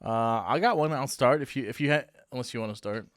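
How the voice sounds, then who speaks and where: A man's voice: medium pitch, fast talker, dry recording, studio quality. A man co-hosting a podcast, telling stories in a chatty way and laughing often. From New Hampshire, USA. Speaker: podcast co-host. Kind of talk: casual chat.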